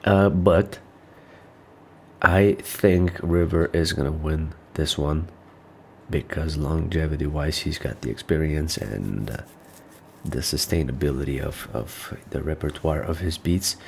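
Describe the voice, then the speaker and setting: A man's voice: a bass voice, measured pace, gravelly, a little mellow, slight background noise. A man hosting a casual reaction video, nasal and stuffed up from allergies, rambling as he thinks aloud. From North Macedonia.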